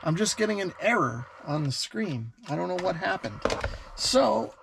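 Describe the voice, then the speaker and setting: A middle-aged American man hosts a hobby video in a slightly high-pitched voice. He explains things conversationally, as if chatting straight to the viewer. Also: very animated, moderate pace, gravelly, noisy background. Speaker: radio hobbyist video host. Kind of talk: explanatory vlog talk to camera.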